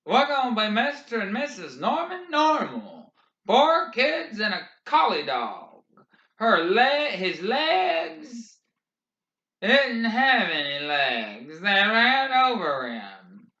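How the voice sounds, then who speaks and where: A shaken young man babbles quickly and near tears, with his pitch climbing as he goes, as a man reads him. The voice sounds bright and strained. It is heard in a roomy, slightly dull recording. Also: wildly swooping pitch, exaggerated voice. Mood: panicked. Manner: rapid, rising babble.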